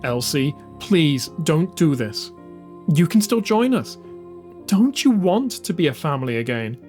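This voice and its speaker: A man's voice: a little high, very animated, measured pace, darkish tone, faint music behind, voice pushed up. A man voicing an earnest, imploring younger sister turned zealous traitor in an audio drama. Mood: pleading.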